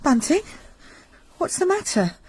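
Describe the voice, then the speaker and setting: A woman's voice: sits high, big pitch swings, a little slow, a little mellow. A woman playing a fussy, anxious middle-aged wife and landlady in a radio drama, scolding and fretful.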